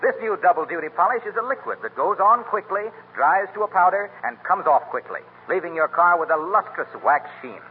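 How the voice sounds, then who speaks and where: A man's voice: high voice, expressive, brisk, hardly any pauses, slightly rough, narrow, tinny audio. An American man announcing in polished, formal 1930s radio style, like a sponsor's salesman, on an old broadcast recording.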